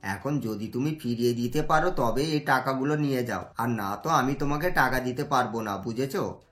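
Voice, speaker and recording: A woman plays a hard-hearted village landlord in a cartoon story, his voice pushed very low and heavy. He talks with cold, even menace, as if the debtor's trouble means nothing to him. Faint music runs under the scene. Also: normal speed. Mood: stern. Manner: cold, threatening, dismissive. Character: stern older male village landlord and moneylender.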